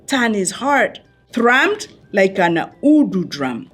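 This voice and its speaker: A woman reading a picture book aloud to young listeners in an expressive storytelling style, phrasing deliberately with dramatic pauses. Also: mid-range voice, husky, soft background music. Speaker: children's book reader.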